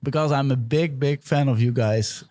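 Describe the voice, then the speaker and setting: A Dutch man, a podcast co-host, chatting in a friendly, eager way with halting phrasing. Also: mid-range voice, mellow, no room sound. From Netherlands. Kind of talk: casual chat.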